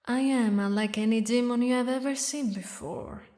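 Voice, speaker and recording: A woman voicing a haughty, mocking demon queen who mourns a lost lover, speaking in slow, theatrical, regal phrases for an audio roleplay. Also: fairly high pitch, warm tone, lightly acted. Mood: mocking, menacing. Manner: slow, taunting, regal, with dramatic pauses. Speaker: villainous ruler addressing a knight.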